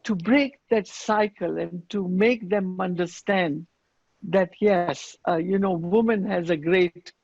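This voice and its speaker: A woman from Afghanistan, answering earnestly on a panel with many pauses and fillers, over a video call. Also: fairly low pitch, darkish tone, no echo. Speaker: panelist running girls' schools. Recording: dull lo-fi video call audio.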